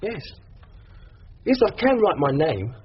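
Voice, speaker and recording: Husky, stubborn young defendant. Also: male voice, a little high, very animated, slow, lo-fi sound, full character voice. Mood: defensive. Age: young adult. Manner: colloquial, blunt, non-standard grammar. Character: stubborn, resigned young male defendant in custody. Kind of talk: British radio drama acting.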